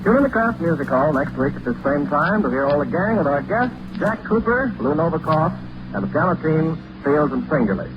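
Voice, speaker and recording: A man's voice: a little high, big pitch swings, brisk, noisy background. A man announcing in polished, salesmanlike 1940s radio commercial style. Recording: dull old radio recording with music.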